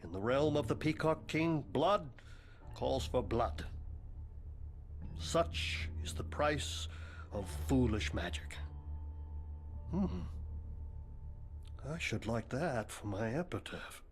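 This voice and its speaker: Game role: morbid, bookish professor, middle-register voice, stiffly formal and deadpan. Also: male voice, mid-range voice, slow, long pauses, slight background noise. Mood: solemn, dry. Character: pompous, morbid, bookish male occult professor, deadpan and eccentric. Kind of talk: video game cutscene acting.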